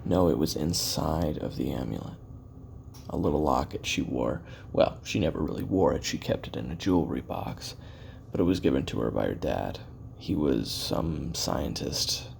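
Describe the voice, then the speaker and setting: Man playing ex-assassin, rock-bottom pitch, heavily creaky and gravelly, weary, regretful, halting confessional monologue, audio roleplay. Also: lively intonation, darkish tone, a little hiss or hum, full character voice. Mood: agitated recollection. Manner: halting, musing, trailing pauses and self-corrections. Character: weary, regretful male ex-assassin taping his memoirs.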